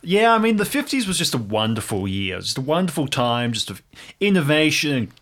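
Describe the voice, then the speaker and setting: A man voicing an eager smooth talker making nostalgic small talk in an improvised audio drama. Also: on the high side, sing-song, normal speed, a bit bright. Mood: chatty, ingratiating.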